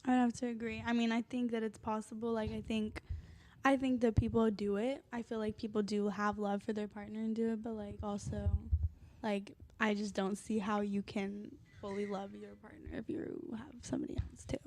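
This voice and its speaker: A woman's voice: medium pitch, flat delivery, normal speed, a little mellow. A twenty-year-old woman giving her opinions as a guest in casual podcast chat.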